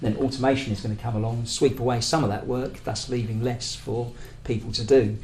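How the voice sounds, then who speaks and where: The recording is echoey, with faint noise behind the voice. A British man speaks at a medium pitch as a union speaker making a political argument. His delivery is discursive and hesitant, often feeling for the right word. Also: steady tone, fairly quick, darkish tone. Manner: hesitant, searching for words, discursive. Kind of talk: conference talk, semi-prepared political argument.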